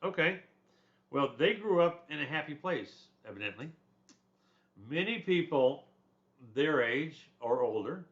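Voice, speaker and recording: A man's voice: medium pitch, fairly bright tone. Older man giving a rambling lecture. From born in Germany, raised in the United States. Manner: rambling, conversational, with restarts and asides. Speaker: instructor. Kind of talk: casual lecture on a textbook chapter. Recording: slightly dull lo-fi recording.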